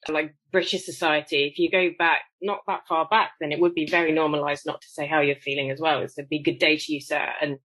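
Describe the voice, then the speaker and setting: A woman from the UK co-hosting a casual interview, reflective and measured. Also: sits low, little pitch movement, fairly bright tone, no echo. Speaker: podcast co-host.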